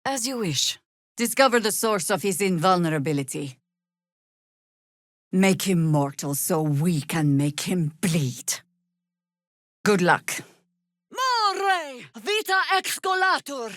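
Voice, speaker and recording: An actress playing a stern, wry, middle-aged druid veteran in a video game, speaking in a measured, commanding way. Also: female voice, mid-range voice, lively intonation, fairly bright tone, dry recording, studio quality. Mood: shouted incantation. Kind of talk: video game character dialogue and spell shouts.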